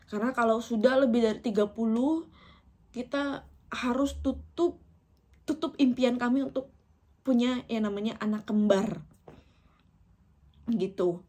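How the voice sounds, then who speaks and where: A woman's voice: a little high, a little slow, long pauses, a little mellow. A woman in her thirties from Indonesia, talking directly to her viewers in an emotional, personal vlog, often breaking into laughter. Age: 30s. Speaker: vlogger.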